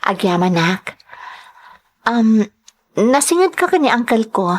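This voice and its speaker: A woman acts out a young Ilocano-accented sweetheart in a radio drama, coy and doubting yet tender toward her suitor. Her intonation is highly animated, with wide rises and falls. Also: slow, heavily acted. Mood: tender, worried.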